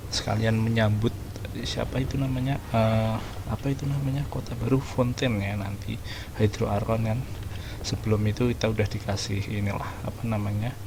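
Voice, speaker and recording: A man's voice: lowish voice, creaky, background noise. A man with an Indonesian accent giving casual, informal commentary as host of a gaming playthrough. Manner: informal, mixes in English slang. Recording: game music behind the voice.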